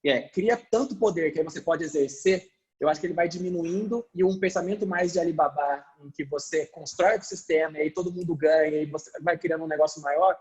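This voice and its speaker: A man's voice: fairly high pitch, quick pace, darkish tone. A man speaking as a panelist over a video call, explaining animatedly with frequent fillers. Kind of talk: webinar panel discussion.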